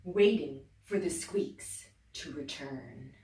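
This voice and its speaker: A woman teacher reading a children's story aloud, expressive, dropping to whispers and rising to loud exclaims. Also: low voice, takes it slowly. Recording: echoey room, distant mic.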